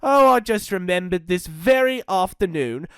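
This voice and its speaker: A fretful, protective storybook mother, gentle but firm, as a narrator lifts their voice for her. The lines sit a bit above the reader's usual pitch, lively in tone and recorded dry and close. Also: normal speed, clean tone, no room sound. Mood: worried. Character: anxious, caring mother.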